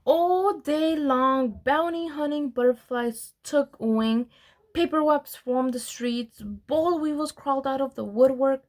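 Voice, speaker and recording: A woman reading a children's storybook aloud in an expressive storyteller's manner, with deliberate pauses. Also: sits high. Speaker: program administrator reading aloud.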